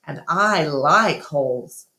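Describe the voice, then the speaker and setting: A woman's voice: low voice, animated, slow, pushed and bright, full character voice. A woman voicing a cynical, grumbling male animal sidekick with a wry, emphatic manner. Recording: roomy, echoing room sound.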